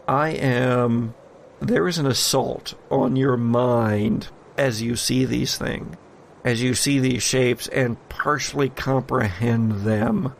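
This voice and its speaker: Slow, creaky-voiced man as horror game master. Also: medium pitch, slight background noise. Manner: dramatic, deliberate storytelling. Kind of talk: narrating a horror tabletop game, addressing players.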